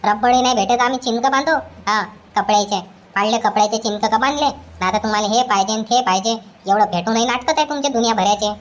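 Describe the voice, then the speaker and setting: A woman voicing a nagging, scolding old mother-in-law in a cartoon comedy, with a rapid ranting way of talking. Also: a little high, natural voice.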